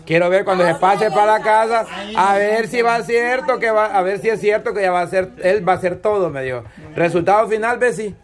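A man's voice: high voice, brisk, few pauses. A man with a Salvadoran accent, teasing and questioning a group, often talking over them. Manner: teasing, talks over others. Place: outdoor covered hall with a crowd. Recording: noisy crowd around.

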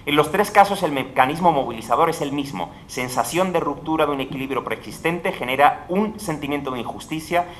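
A middle-aged man reading a formal academic lecture at a panel table mic in a large hall, measured and emphatic, dropping his voice at phrase ends. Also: on the high side, fairly bright tone, slight background noise. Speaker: conference speaker. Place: large legislative hall, indoors. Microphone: table microphone at a panel.

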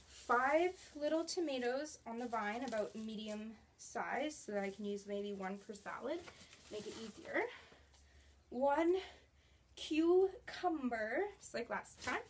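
A woman's voice: fairly high pitch, slight background noise. A young woman casually chatting through a grocery haul in her small apartment, bubbly and gesturing as she talks, recorded on a camera a few feet away. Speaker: vlogger. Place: small studio apartment bedroom, indoors.

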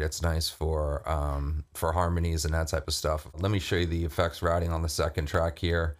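A middle-aged man casually explaining his vocal effects chain in a home music studio, talking loosely with many fillers and restarts. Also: low voice. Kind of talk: casual screen-recorded tutorial. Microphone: studio condenser mic with headphones.

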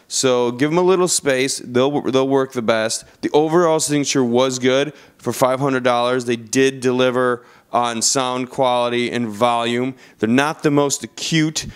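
A man's voice: mid-range voice, smooth. A man narrating a product review in an informal, conversational style, as a reviewer talking through features. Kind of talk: casual video review narration.

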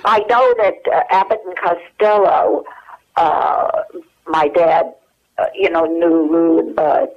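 An American woman in her eighties reminiscing casually and haltingly as an interview guest over the telephone. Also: on the low side, steady tone, creaky. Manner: halting, searching for memories. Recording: dull, lo-fi telephone hook-up.

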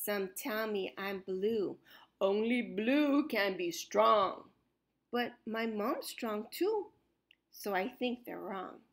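A woman storyteller reading a rhyming children's picture book aloud expressively, with deliberate, dramatic phrasing and emphasized words. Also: medium pitch, expressive.

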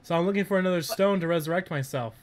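High-pitched excitable male gamer. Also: racing. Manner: excitable, frequent swearing. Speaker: gamer, video host. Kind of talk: casual co-op gameplay chat.

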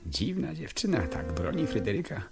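An actor playing a reflective, wry amateur detective in a 1970s radio play, musing and telling the story in measured phrases. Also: male voice, lowish voice, huge pitch swings, gravelly, loud music behind the voice, exaggerated voice. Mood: brooding, musing. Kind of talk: 1970s radio play acting with first-person narration.

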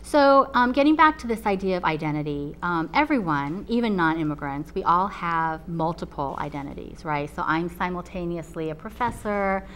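Low-voiced woman professor with faint hum. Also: expressive, a bit bright. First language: Chinese. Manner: measured, explanatory, frequent ums. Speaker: college professor. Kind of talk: recorded talk to a webinar audience. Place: TV studio with a painted backdrop.

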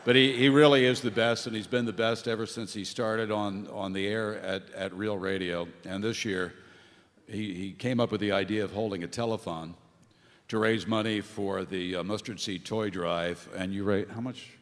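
A man's voice: on the low side, fairly even intonation. Middle-aged man emceeing in a big hall. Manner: halting, with fillers and restarts. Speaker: radio show host. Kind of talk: emcee thank-you remarks. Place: large theater stage. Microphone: stand mic. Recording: reverberant hall with audience.

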